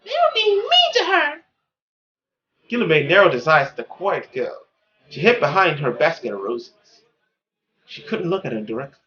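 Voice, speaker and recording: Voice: medium pitch, fairly bright tone. A narrator reading a visual novel aloud in dramatic storybook style. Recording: dull lo-fi audio with faint music.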